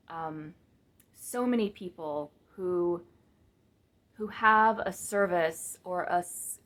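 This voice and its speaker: A woman talking casually to her camera in a monotone, flat voice, rambling with fillers and restarts and poking fun at herself. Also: fairly low pitch. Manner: rambling, many fillers and restarts, self-mocking. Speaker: vlogger and business coach. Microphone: camera mic, speaking to the lens.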